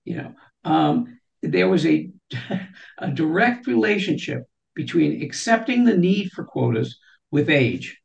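Gravelly-voiced older woman on a video call. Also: low voice, very animated, takes it slowly, darkish tone, highs cut off. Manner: gestures widely, many restarts and "you know". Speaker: guest. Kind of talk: interview conversation. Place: home room with shelves.

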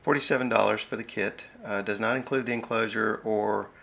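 A middle-aged man with a gravelly voice giving a casual, halting hands-on demonstration at an indoor desk. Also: on the low side. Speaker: amateur radio hobbyist demonstrating gear. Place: small indoor radio desk. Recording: steady noisy background hiss and ambience.

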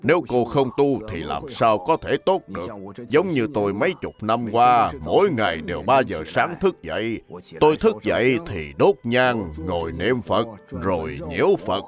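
A man's voice: fairly low pitch, sing-song, normal speed. A man voicing a humble elderly Buddhist monk who teaches patiently in plain, simple words for a cartoon. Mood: earnest teaching. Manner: didactic, plain, repeats instructions simply. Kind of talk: dubbed animated religious biography.

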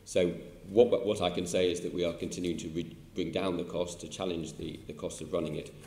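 An older man with a British accent speaks low and deliberately, pausing often on filler sounds as he answers questions. His voice carries through a large council chamber with a long reverberant tail and faint room noise. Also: level tone, dark tone. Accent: British English. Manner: measured, halting, many filler sounds. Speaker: chief executive answering questions. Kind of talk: formal committee testimony. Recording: echoey hall with faint ambience.

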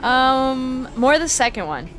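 A woman's voice: high-pitched, sing-song, takes it slowly, background noise, exaggerated voice. A woman playing a caring young wrestler in a video game, speaking tentatively and sympathetically. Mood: sympathetic.

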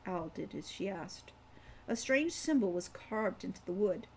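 A woman in her sixties reading fantasy fiction as its author, with deliberate, dramatic phrasing. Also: medium pitch, animated, slight background noise, poor audio. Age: 60s. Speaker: author reading own novel. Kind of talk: audiobook-style fantasy narration. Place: home room with kitchen behind.

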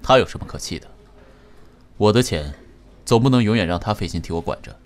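An actor playing a composed, calculating, wealthy chairman in a radio drama, measured and formal. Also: on the low side, lively intonation, noisy background, natural voice. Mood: calm, dry. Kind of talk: Chinese radio drama acting.

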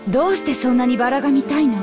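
A woman's voice: high-pitched, rapid-fire, music in the background, natural voice. An actress playing a strained young mother in a visual novel, polite but stern, with tense restraint. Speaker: voice actor. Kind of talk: Japanese visual novel acting.

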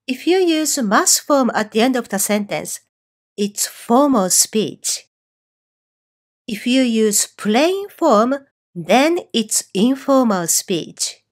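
A woman teaching a Japanese course online, explaining clearly and slowing down on example phrases. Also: on the high side. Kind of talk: scripted online lesson.

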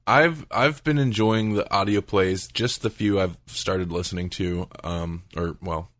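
A man's voice: low voice, unhurried, fairly bright tone, no echo, studio quality. A man hosting a podcast, chatting casually and feeling out his words as he goes. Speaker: podcast host.

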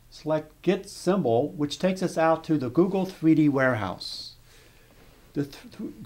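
A man narrating a step-by-step software tutorial over screen footage, explaining calmly with small restarts. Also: fairly high pitch. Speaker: software demonstrator.

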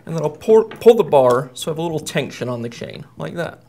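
A man's voice: a little high, animated, brisk, warm tone, a little hiss or hum. A man in his thirties, a repair technician, explaining a hands-on job step by step in a plain, methodical way. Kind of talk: step-by-step how-to demonstration. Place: indoor workshop at a workbench.

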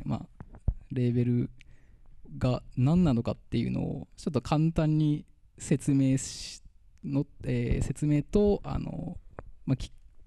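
A man's voice: mid-range voice, vocal fry, dark tone, no room sound. A man hosting a casual radio talk, rambling with many fillers into a handheld mic in a cafe studio. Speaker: host.

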